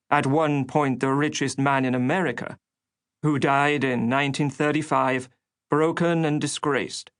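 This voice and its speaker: A man narrating an oral history audiobook in a scripted documentary style. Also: medium pitch.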